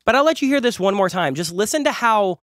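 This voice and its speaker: Young adult man, quick and chatty, slightly nasal from a cold, dry close studio sound. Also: high voice, lively intonation, a bit bright, no echo. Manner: enthusiastic, chatty, repeats words for emphasis. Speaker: music producer and YouTube host. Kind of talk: casual gear review talk. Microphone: large studio condenser close up.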